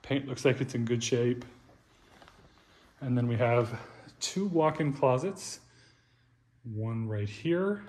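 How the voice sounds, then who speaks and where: A man's voice: medium pitch, slow, pauses often, a little mellow. A man casually narrating a walkthrough of a house for sale, upbeat and pointing out features as he walks. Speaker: real estate agent giving a house tour. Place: large empty house, indoors. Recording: echoey empty rooms, faint room noise.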